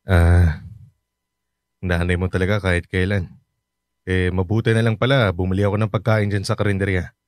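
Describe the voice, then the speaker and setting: Man playing a gentle fifty-year-old taxi-driver dad, reassuring, lowish voice, dry studio sound. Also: flat delivery, normal speed, pauses often, no room sound, lightly acted. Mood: caring. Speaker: father. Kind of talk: radio drama acting.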